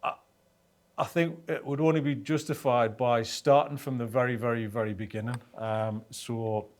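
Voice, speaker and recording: A man's voice: mid-range voice, fairly even intonation, moderate pace. Informal male interviewer. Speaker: interviewer, host. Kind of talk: casual interview chat.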